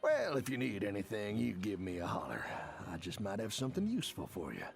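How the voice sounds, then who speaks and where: Sly, gravelly shady male smuggler. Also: lowish voice, huge pitch swings, a little fast, faint music behind, heavily acted. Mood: sly, chummy. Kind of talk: video game cutscene acting.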